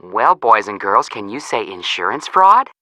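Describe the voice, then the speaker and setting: A man's voice: lowish voice, sing-song, moderate pace, sharp tone, no echo, heavily acted, poor audio. A man playing a sarcastic young amateur detective, a joking younger brother, in a video game. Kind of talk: scripted game dialogue.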